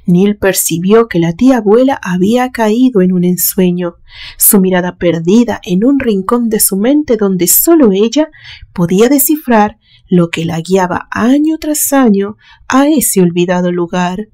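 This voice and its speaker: A woman reads a gothic suspense story as its narrator. Her voice is mellow and medium in pitch, and her delivery is solemn and dramatic. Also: lively intonation, measured pace. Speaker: narrator, literary channel host.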